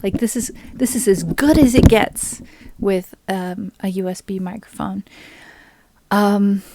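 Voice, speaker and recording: Woman streamer, unhurried and easygoing, soft mellow tone, dry close mic. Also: mid-range voice, dry recording. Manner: slow, relaxed and friendly, greets viewers by name, with small laughs. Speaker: streamer and ukulele player. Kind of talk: casual chat to a live stream audience. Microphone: USB microphone on a boom arm with a pop filter.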